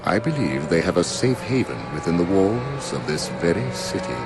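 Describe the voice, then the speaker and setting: A man's voice: deep voice, sing-song, normal speed. An actor playing a cruel, righteous old judge in a video game, pompous and ominous in his theatrical delivery. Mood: stern contempt. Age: older. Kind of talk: dramatic video game acting. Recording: loud orchestral music behind.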